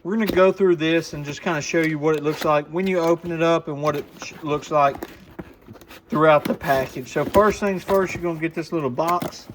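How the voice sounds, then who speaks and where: A man with a slightly high voice walks through a product in a casual, folksy manner. He explains each step plainly, like a shop owner showing his goods. There is faint noise and some clicking in the recording. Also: fairly quick. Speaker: radio shop owner presenting a product demo. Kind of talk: casual hands-on product walkthrough. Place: radio shop, indoors.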